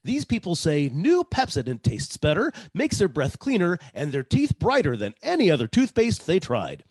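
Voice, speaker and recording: A man's voice: on the high side, wildly swooping pitch, moderate pace, darkish tone, slightly rough, no room sound, studio quality, full character voice. A man playing a polished 1940s radio announcer with emphatic, salesmanlike delivery. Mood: upbeat selling.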